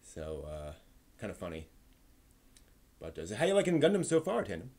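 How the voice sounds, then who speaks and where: A man's voice: lowish voice, wildly swooping pitch, normal speed, a little mellow, a little hiss or hum. A man hosting a live stream in a conversational way, moving between news rundown and casual asides to his viewers.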